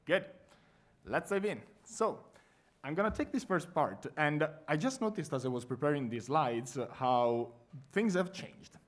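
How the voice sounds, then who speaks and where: A man with an Italian accent presenting a conference workshop to a live audience, talking in a friendly, lively way with frequent fillers. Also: on the high side, a little slow. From Italy.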